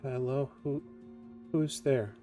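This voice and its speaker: A man voicing a lonely, uncertain number-station entity in a tabletop game, speaking in a halting, apologetic way. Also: medium pitch, mellow, soft background music, voice pushed up, close to an everyday voice. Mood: tentative. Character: lonely, uncertain sentient radio number-station named Faye.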